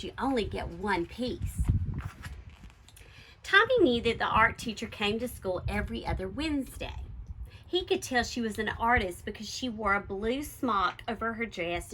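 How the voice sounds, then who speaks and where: A woman reading a children's picture book aloud like a schoolteacher, expressively and with deliberate, emphatic stresses. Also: mid-range voice, bright, forceful tone, slight background noise, low-quality recording.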